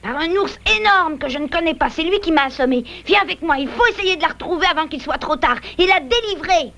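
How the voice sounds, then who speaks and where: A woman voicing a young male beaver in a cartoon, plucky, playful, excitable and feisty. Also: high voice, quick pace. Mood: distressed, urgent. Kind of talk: French-language cartoon dubbing. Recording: old VHS cartoon soundtrack.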